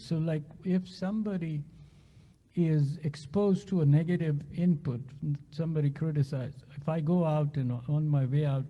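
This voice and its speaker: Earnest man explaining, husky and muffled. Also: fairly high pitch. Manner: earnest and explanatory, with frequent restarts. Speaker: physician researcher on a panel. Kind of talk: panel discussion answer.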